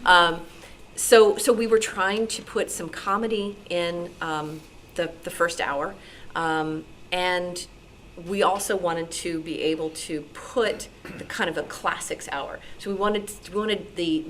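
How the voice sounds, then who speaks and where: A woman's voice: fairly low pitch, measured pace, fairly bright tone. A woman speaking informally as a radio programmer on a panel, explaining decisions in a conversational, hedging way. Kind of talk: explanatory talk to an audience. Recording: noisy live meeting room recording.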